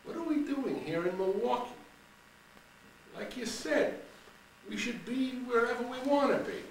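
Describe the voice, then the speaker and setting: A man voicing Laverne, a brassy young woman from Brooklyn who stammers when excited, recorded in an echoey room from a distance. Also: high-pitched, a little slow, slight background noise, voice pushed up, exaggerated voice. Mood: wistful. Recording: roomy room, distant mic, faint ambience.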